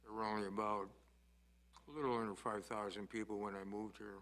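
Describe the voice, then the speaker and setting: An older man, a village commissioner, introducing himself informally at a meeting in a halting, understated way. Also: lowish voice, flat delivery, a bit bright.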